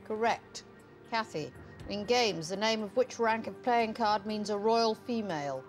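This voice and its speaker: A woman's voice: mid-range voice, a little slow, faint music behind. A woman hosting a television quiz in a studio, reading questions and rules in a curt, mocking way. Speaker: quiz show host.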